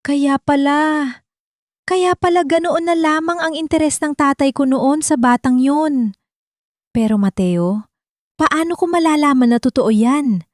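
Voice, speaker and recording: A woman voicing a scheming, sharp-tongued aunt, a villainess in an audio drama. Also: high voice, a little slow, pauses often, dry recording, studio quality, voice pushed up. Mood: shaken suspicious. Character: cruel scheming aunt, mocking and suspicious villainess.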